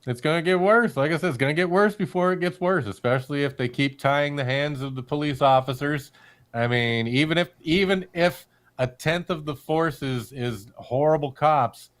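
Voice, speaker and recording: A man's voice: fairly high pitch, normal speed, fairly bright tone. A man co-hosting a podcast, joking and laughing at his own wisecracks. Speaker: podcast co-host. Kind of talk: casual chat.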